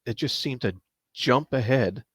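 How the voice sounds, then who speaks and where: A man talking casually as a panelist in a book discussion, halting and repeating words as he searches for phrasing. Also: medium pitch, husky, no room sound.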